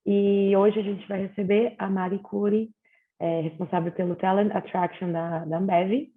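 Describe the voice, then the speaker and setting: A woman hosting a webinar interview, speaking in a measured way with hesitant fillers. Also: mid-range voice, level tone. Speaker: host. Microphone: video call. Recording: tinny phone-like video call.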